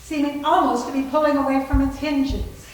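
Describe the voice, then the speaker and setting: A woman's voice: sits high, fairly even intonation, moderate pace. A woman narrating a scripted suspense story as part of a readers theater. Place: reverberant room at a library reading. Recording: very echoey, distant, noisy.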